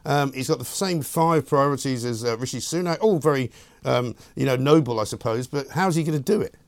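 A man with a British accent hosting a talk radio show, his voice scratchy and hoarse from a cold, talking in a brisk, sardonic and emphatic way. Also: mid-range voice, a little mellow. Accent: British English. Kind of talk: talk radio monologue and interview.

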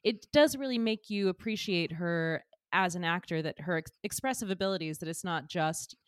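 A woman's voice: fairly low pitch, normal speed, clear, resonant tone, dry recording, studio quality. A woman co-hosting a podcast, reflecting at length and asking questions, laughing often. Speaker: podcast co-host. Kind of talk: casual podcast chat.

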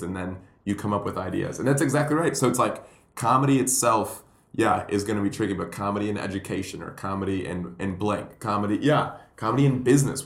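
A man from Puerto Rico, a comedian telling stories in a rambling, animated way on a podcast. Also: fairly low pitch. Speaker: comedian and guest. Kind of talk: casual podcast chat. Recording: roomy echo.

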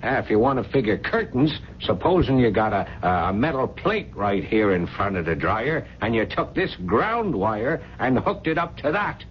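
A man's voice: medium pitch, big pitch swings, normal speed, few pauses, natural voice. An actor playing a streetwise working-class repairman in a radio drama, colloquial and jokey, explaining things with relish. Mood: jovial, cautioning. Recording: dull, noisy 1970s radio broadcast.

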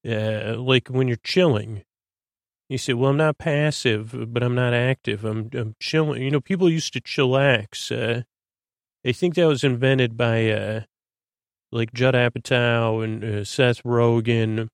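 A man with a creaky voice hosting a sleep podcast, meandering and halting as he stammers and drifts onto tangents. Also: mid-range voice, fairly even intonation, dry recording, clean studio sound. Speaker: podcast host. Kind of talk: rambling bedtime-story podcast monologue to lull listeners to sleep.

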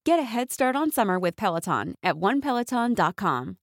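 A woman's voice: medium pitch, very animated, brisk, dry recording, studio quality, faint music behind. A woman reading a scripted ad in an upbeat, inviting way. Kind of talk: scripted ad read.

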